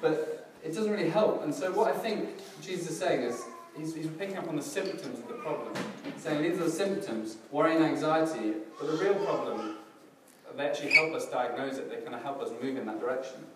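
Hoarse man preaching in echoey hall. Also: on the high side, brisk. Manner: relaxed, self-deprecating, hesitant with fillers and restarts. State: slightly hoarse, crackly voice. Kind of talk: casual church sermon. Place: church hall, in front of a congregation. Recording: distant, very echoey hall with background noise.

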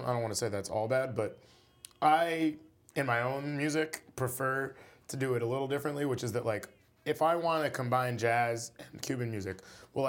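A man, a musician guest in an interview, talking casually and rambling with many fillers. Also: medium pitch.

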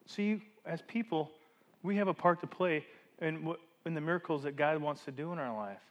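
A man with a notably high voice leads a church sermon into a microphone. He talks to the congregation like a friend, full of rhetorical questions, and swings between loud emphatic phrases and quiet asides. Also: normal speed, highs cut off. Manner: voice rises and falls sharply for emphasis. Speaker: pastor.

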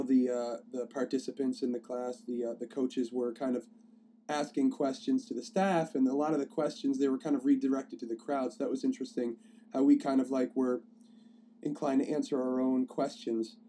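Hoarse man with a dark voice. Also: mid-range voice, slight background noise. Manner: rambling, many fillers and restarts. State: hoarse from a cold. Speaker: podcast host. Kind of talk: casual solo podcast talk. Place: live stream on a phone.

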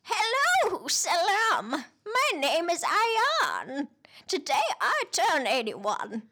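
Woman playing elderly storybook grandparent, loving, proud, very animated pitch, unhurried. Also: exaggerated voice. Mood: gentle, proud. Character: proud, loving eighty-one-year-old grandparent.

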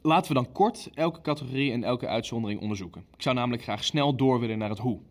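An actor playing a ruthless, impatient Nazi official in a naturalistic audio drama, blunt and authoritative. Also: male voice, medium pitch, fast talker, natural voice. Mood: brisk, impatient. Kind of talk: Dutch audio drama acting, naturalistic meeting dialogue.

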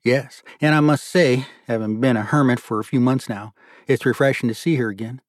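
A narrator plays a courteous man who is a regular diner. He is sincere and gently playful. The male voice is mid-range and recorded very dry. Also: medium pitch, a little fast, dry recording, lightly acted.

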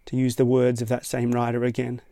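A man from Tasmania with an Australian accent, reading a reflective scripted essay in a measured, thoughtful way. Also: medium pitch, dry recording, clean studio sound. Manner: measured, thoughtful, even-toned. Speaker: essay narrator.

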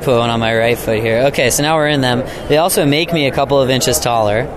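A man hosting a podcast, interviewing exhibitors at a trade show in a jokey, upbeat way with quick affirmations. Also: mid-range voice, a crowd in the background. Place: outdoor trade show floor.

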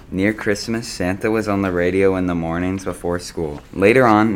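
Deep-voiced teen boy reading aloud. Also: slight background noise. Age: teenager. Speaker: student reader. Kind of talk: reading written memories aloud.

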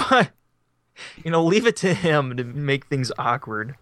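A man's voice: fairly high pitch, big pitch swings, slow, a bit bright, dry recording, clean studio sound. Rambling young male podcast co-host. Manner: rambling, self-deprecating, laughs often. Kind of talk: casual chat. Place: on a couch at home. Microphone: microphone set up beside him.

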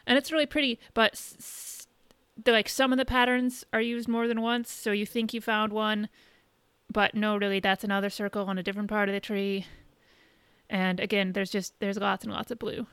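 A woman podcast co-host chatting casually in a halting, conversational way, recapping what she has been watching. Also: medium pitch, flat delivery, a bit bright, smooth, no echo. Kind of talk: casual chat, recapping shows and films.

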